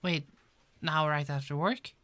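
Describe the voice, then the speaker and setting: A frazzled, friendly female police detective, acted by a woman in a one-sided ASMR roleplay. Her voice sits a little low and moves slowly, stumbling and repeating words in an exasperated, apologetic way. The sound is very dry, with no room around it. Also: fairly even intonation, fairly bright tone, dry recording. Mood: shy, pleased. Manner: hesitant, stammering, repeats words.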